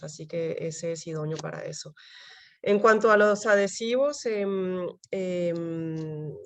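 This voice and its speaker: A woman with a trace of a Colombian accent speaks in a somewhat low voice, answering questions as a conservator. She talks haltingly, with many fillers, as she works through technical terms. The sound is close and dry. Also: darkish tone, no room sound. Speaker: paper conservator. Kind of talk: Q&A after a lecture.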